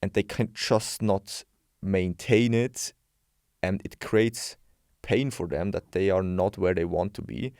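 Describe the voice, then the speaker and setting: A man's voice: low voice, takes it slowly, darkish tone, no room sound, studio quality. A man, a coach giving frank, opinionated answers in a casual interview, thinking aloud as he goes. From Switzerland. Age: 20s. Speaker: guest, bodybuilding and health coach.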